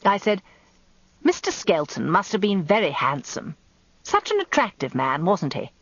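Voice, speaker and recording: Observant wry lawyer's wife, English accent, confiding radio drama narration, dry close sound, slightly dull recording. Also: female voice, lowish voice, huge pitch swings, normal speed, fairly bright tone, dry recording, full character voice. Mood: wry, musing.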